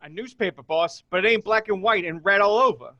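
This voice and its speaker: A man acts out a dim henchman, high and bright in voice, deferring to his boss. The sound is a little dull and lo-fi, as in a remote script read. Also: a little fast. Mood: eager. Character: Humphrey, dim, deferential male gangster henchman. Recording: dull, lo-fi microphone.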